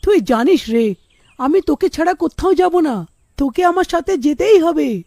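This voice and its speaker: An eager young male student from a children's cartoon, acted by a woman. The voice is high and closely recorded. He is playful, excitable and stubbornly insistent. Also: normal speed, gravelly, no echo, studio quality. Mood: excited, insistent.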